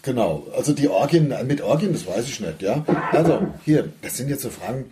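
Male co-host, mellow voice, loose joking banter, reads questions and riffs on them. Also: medium pitch, animated, a little fast, a little hiss or hum. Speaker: co-host reading listener questions. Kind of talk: casual humorous chat.

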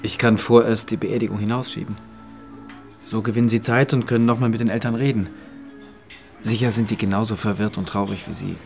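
A sober state prosecutor in a radio play, restrained and probing. His voice is on the low side and measured, with music clearly behind it. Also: steady tone, a little mellow. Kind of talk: radio drama narration and questioning.

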